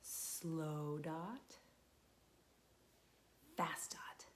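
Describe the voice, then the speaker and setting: A young woman, a children's librarian, reading a picture book aloud to small children, gentle and patient, asking them questions and waiting for their answers. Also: low voice, fairly even intonation, extremely slow, slight background noise.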